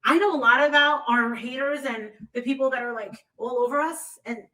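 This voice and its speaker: A woman's voice: high voice, fairly quick, bright, forceful tone. A woman hosting a casual livestream chat, gossipy and blunt, with frequent profanity, recorded on a distant mic.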